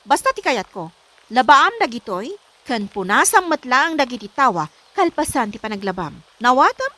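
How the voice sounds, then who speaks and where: Casting: actress as imperious, short-tempered female employer, Filipino accent, high and very lively delivery, comedy drama. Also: normal speed, full character voice. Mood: bossy, scolding. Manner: scolding, imperious. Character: haughty, bossy, quick-tempered woman employer of a housemaid.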